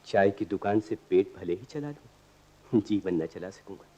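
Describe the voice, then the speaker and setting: An actor playing a young, unemployed idealist in a radio play, bantering and philosophical with literary turns of phrase. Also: male voice, fairly low pitch, lively intonation, a little slow, mellow, husky. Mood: grave, reflective. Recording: dull lo-fi archive radio recording.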